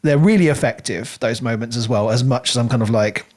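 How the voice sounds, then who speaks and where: A man co-hosting a podcast, talking through a book in a casual, animated and rambling way. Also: medium pitch, brisk. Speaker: podcast co-host reviewing a book.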